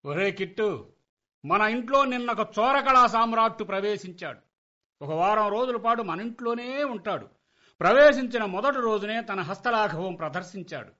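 A man's voice: high voice, sharp tone. A man playing an exasperated, sarcastic middle-aged father in a Telugu comedy radio play, with a wry, scolding, theatrical delivery. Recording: dull lo-fi radio play recording.

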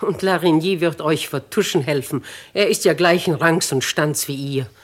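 An actress with diction playing a shrewd, kindly elderly noblewoman in a 1950s radio drama, deliberate and theatrical. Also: deep voice. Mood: firm, commanding.

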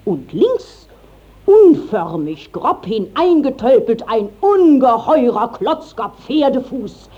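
A talkative superstitious village woman, slow and theatrical, mellow voice, old muffled radio play. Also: on the high side, slightly rough, full character voice. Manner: theatrical, drawn-out, emphatic storytelling. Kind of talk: 1950s German radio play acting of classic verse comedy. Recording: dull 1950s radio drama recording.

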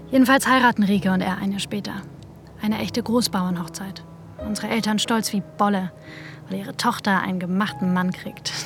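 A woman's voice: mid-range voice, lightly acted. An actress playing an ambitious woman manager in a radio drama, telling of lost love in a wry, colloquial way. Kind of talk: radio drama first-person narration and dialogue.

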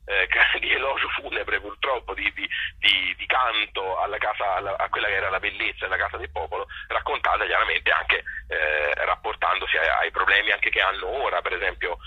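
A man with a Tuscan accent talking off the cuff as a phone-in interview guest, halting and repeating words as he looks for the phrasing. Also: low voice, husky. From provincial Tuscany, Italy. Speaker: interview guest, playwright and actor. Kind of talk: spontaneous phone interview talk. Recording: harsh telephone line on radio.

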